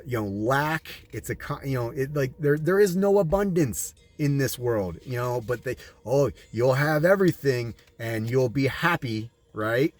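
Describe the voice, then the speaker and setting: An American man reading aloud and adding his own commentary in an emphatic, preachy manner, like a sermon. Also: mid-range voice. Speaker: audiobook reader and commentator.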